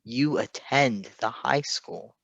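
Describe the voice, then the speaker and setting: A man's voice: medium pitch, takes it slowly, gravelly, a bit bright, dry recording, lo-fi sound, heavily acted. Man reading as a formal, reserved logical superhero. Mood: matter-of-fact. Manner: formal, precise, bland. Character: logical, reserved, awkward male superhero medic.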